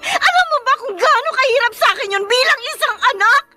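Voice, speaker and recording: An actress playing a weary, dutiful young Filipino daughter in a radio drama. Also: female voice, very high voice, lively intonation, fast, pushed and bright, soft background music, full character voice. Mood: anguished, tearful.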